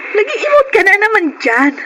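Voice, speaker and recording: Brash scolding gal pal, really high voice, radio drama, noisy background. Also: female voice, sing-song, moderate pace. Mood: brash, chiding. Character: blunt, chiding female friend of a bar hostess. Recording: clear background noise.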